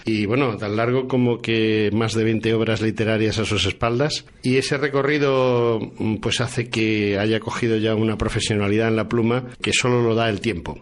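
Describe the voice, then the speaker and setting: A man with a Spanish accent from Spain hosting a radio interview in a long-winded, halting, conversational way. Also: on the low side, fairly even intonation. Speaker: radio interviewer presenting books.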